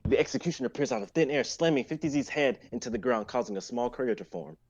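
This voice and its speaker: Young guy narrating a script live, average pitch, slightly muffled home mic. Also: expressive, fast, darkish tone. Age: young adult. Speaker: narrator reading stage directions. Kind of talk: live amateur script reading.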